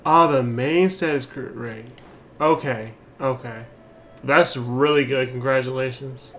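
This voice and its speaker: A man's voice: medium pitch, unhurried, fairly bright tone. Man, game streamer, casual commentary, rambling, thinking aloud, audible background noise. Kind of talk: casual gameplay commentary, chatting.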